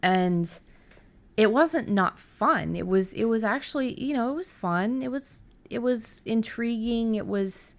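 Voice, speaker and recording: A middle-aged woman host talking casually and candidly about her own experience, haltingly, as if thinking aloud. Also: fairly high pitch, expressive. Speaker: podcast host and fiction writer. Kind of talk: casual conversational storytelling.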